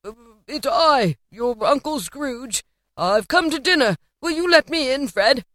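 Woman as a jubilant old man, pitched up high. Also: expressive, measured pace, a bit bright, dry recording, clean studio sound. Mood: hopeful. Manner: exclamatory, effusive, polite. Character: jubilant, reformed old man, effusively kind and eager. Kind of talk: dramatised storybook character voice.

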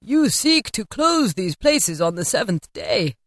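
A woman reading an audiobook and voicing an old male miser who asks hesitant, halting questions. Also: mid-range voice, big pitch swings, a bit bright, no echo, studio quality. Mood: questioning. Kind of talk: audiobook character voice.

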